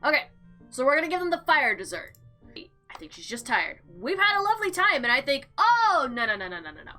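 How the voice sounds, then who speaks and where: Lively, high-pitched woman chatting through a game. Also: fairly quick. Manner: chatty, expressive, theatrical when reading. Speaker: game player and narrator. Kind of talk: casual gameplay commentary mixed with reading visual novel text aloud. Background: background music.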